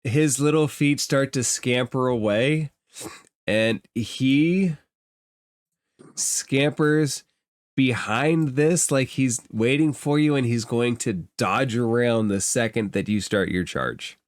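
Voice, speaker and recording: A man narrating a tabletop fantasy game as game master, describing scenes in improvised, halting phrases and thinking aloud. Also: medium pitch, dry recording, studio quality.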